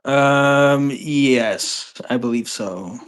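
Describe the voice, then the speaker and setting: A woman's voice: rumbling low, extremely slow. A woman talking casually and a little tentatively as a player in a tabletop role-playing game.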